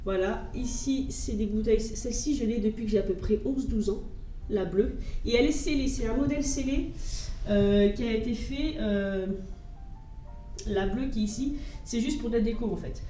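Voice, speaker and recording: A woman from France chats casually to her camera at home. She talks in a mellow, warm voice pitched a little high, stopping and restarting her sentences with plenty of fillers as she shows her finds. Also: moderate pace, faint music behind, poor audio. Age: adult with children. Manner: chatty, hesitant, lots of fillers and restarts. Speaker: vlogger showing thrift finds.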